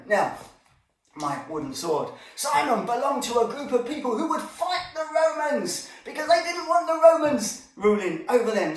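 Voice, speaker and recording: A man teaching a Bible story to children in an informal, animated way, with asides and exclamations to his young listeners. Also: very high voice. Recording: echoey room, distant microphone.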